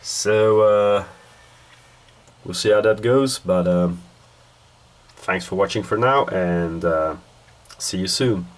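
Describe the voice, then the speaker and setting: A man from Belgium with a nasal voice from a cold, giving a casual, rambling hobby update in a halting, hesitant way. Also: low-pitched. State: has a cold. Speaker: hobby video host.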